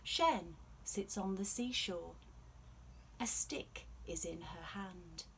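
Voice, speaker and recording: A woman telling stories to children, explaining things gently in an unhurried, expressive read-aloud way. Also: on the low side, gravelly, a little hiss or hum. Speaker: storyteller.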